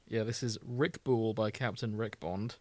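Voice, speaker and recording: A man's voice: on the low side, steady tone, a little slow, mellow, dry recording. A man co-hosting a podcast, talking informally and conversationally about fandom. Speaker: podcast co-host. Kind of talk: casual chat about fandom.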